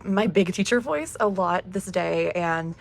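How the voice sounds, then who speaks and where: A woman in her thirties, hoarse from losing her voice, with a gravelly, low-pitched tone. She chats upbeat and friendly to camera, laughing often as she talks through her budget. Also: a little slow. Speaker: cash budgeting vlogger. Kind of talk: casual chat to camera.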